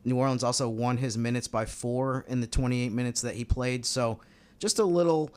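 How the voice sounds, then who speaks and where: An American man talks through basketball as a podcast co-host. His voice sits at a middling pitch, and his style is casual and conversational, like an analyst chatting with colleagues. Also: fairly even intonation, normal speed, darkish tone, clean tone.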